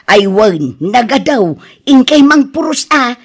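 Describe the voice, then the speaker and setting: An actress playing a soft-hearted older wife in a radio drama, gently reproving, with an Ilocano accent. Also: female voice, on the high side, sing-song, takes it slowly, exaggerated voice. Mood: kindly. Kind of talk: Filipino radio drama acting.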